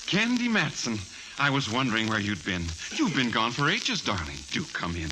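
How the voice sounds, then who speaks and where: An actor playing a grandiose, unstable composer in a theatrical 1940s radio drama style, with rhapsodic delivery and dramatic pauses. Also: male voice, medium pitch, huge pitch swings, poor audio. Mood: effusive. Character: grandiose, unstable male composer, feverish and doomed. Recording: old 1940s radio recording, music behind.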